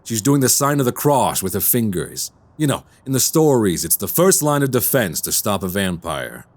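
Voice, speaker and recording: Deep-voiced, polite, brooding radio-drama gentleman. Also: big pitch swings, normal speed. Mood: friendly, teasing. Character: neighborly middle-aged man suspected of being a vampire, troubled narrator. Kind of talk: immersive radio drama acting and narration.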